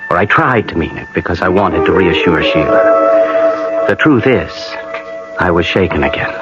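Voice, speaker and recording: A man playing an affectionate, practical husband in a radio drama, speaking and narrating in a reassuring, expressive way. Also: low voice, moderate pace. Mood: troubled, reflective. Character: affectionate, practical husband, hiding his unease. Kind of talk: radio drama acting with first-person narration. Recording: dull vintage radio recording, loud music.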